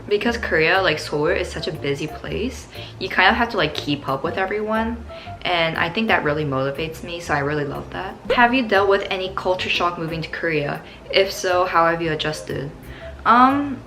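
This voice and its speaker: Young Canadian woman vlogger, slightly low voice, breezy Q&A chat over soft music. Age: young adult. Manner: upbeat, chatty, many "like" fillers. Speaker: vlogger answering viewer questions. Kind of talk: casual Q&A vlog.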